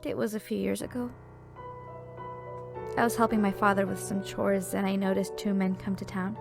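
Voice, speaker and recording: A woman playing a somber young village woman haunted by a massacre, in an earnest, halting audio drama delivery. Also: mid-range voice, little pitch movement, vocal fry, close to an everyday voice. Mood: grave recounting. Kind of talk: dramatic audio roleplay acting. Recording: music bed under the voice.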